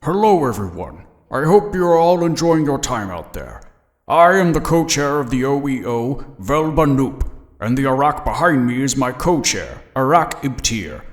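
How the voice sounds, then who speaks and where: An earnest, ceremonious alien leader played by a man addresses a gala in a sci-fi audio drama. The voice sits at a middle pitch and rings out with long hall reverb. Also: very animated, normal speed, close to an everyday voice. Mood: welcoming. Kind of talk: rousing formal public speech, sci-fi audio drama.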